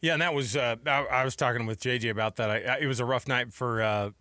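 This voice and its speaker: Bright-voiced man hosting casual sports radio. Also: medium pitch, fairly even intonation, fast, no echo, studio quality. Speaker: sports radio show host.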